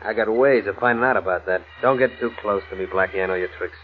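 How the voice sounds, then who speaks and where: An actor playing a curt, threatening gangster with a husky voice and tough-guy slang in a 1940s radio crime drama. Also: on the low side, fast talker, slight background noise, close to an everyday voice. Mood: threatening, impatient. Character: menacing, curt male gangster holding a gun. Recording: muffled tinny old radio recording.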